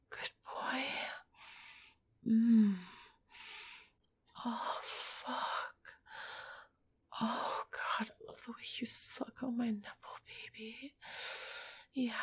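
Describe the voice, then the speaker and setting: A woman performing an intimate whispered audio roleplay in a soft, breathy, teasing and coaxing manner. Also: on the high side.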